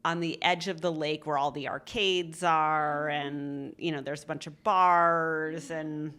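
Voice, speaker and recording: A woman's voice: deep voice, steady tone, slow pace, fairly bright tone. A woman in her forties, raised in New England, speaking candidly and chattily as an interview guest with self-deprecating asides. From born in Colorado, raised in New Hampshire. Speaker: interview guest, former media CEO. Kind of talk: casual interview conversation.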